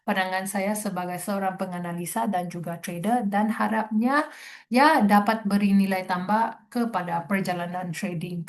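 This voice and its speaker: A young woman from Sabah with a Sabahan Malay accent, hosting a trading webinar in a chatty style and dropping English words into her Malay. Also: mid-range voice. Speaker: webinar host and trader.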